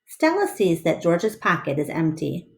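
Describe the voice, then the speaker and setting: A woman teacher reading a storybook aloud to her class with expressive narration. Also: lowish voice, measured pace, gravelly.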